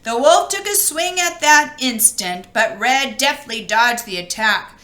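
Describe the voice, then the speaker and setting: Casting: woman storybook reader, teacherly and expressive, highish bright voice, sing-song rhymes. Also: unhurried. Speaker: teacher reading aloud to children. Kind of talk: children's storybook read-aloud.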